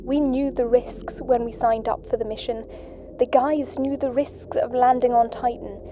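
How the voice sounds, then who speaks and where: A woman playing a solemn astronaut, the sole survivor of a mission, speaking in a grave, measured way. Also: on the high side, steady tone, noisy background, natural voice. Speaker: astronaut Butler. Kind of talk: audio drama acting. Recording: music bed under voice.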